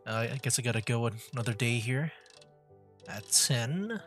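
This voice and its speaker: Casual game streamer, mid-pitched voice. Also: lively intonation, normal speed, fairly bright tone, soft background music. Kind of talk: casual gameplay livestream commentary.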